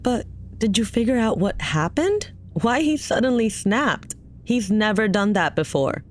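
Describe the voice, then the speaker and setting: A woman's voice: mid-range voice, animated, unhurried, slight background noise, lightly acted. An actress playing a concerned but polite mother in a sci-fi audio drama. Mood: worried, questioning. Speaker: actor in a full-cast audio drama.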